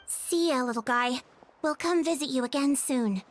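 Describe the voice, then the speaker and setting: A woman's voice: high voice, normal speed, a little hiss or hum, close to an everyday voice. An actress playing an enthusiastic, friendly young female warrior in a video game, eager and informal. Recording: music behind voice.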